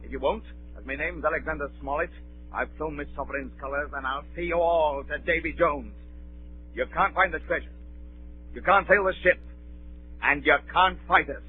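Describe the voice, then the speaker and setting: An actor playing a stern, resolute ship's captain, clipped, formal and commanding, in a 1930s radio drama. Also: male voice, fairly high pitch, normal speed, lightly acted. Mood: stern, defiant. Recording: tinny, noisy, humming 1930s radio.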